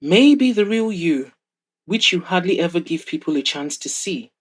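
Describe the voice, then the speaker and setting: A woman's voice: lowish voice, expressive, normal speed, slightly rough. A woman plays a proud, wealthy older mother in an audio drama. She is wry and frank, handing advice to her grown son with a teasing edge.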